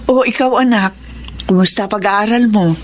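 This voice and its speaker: A woman's voice: medium pitch, normal speed, a little hiss or hum, lightly acted. A woman playing a caring middle-aged rural mother in a Filipino radio drama. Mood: tender, apologetic.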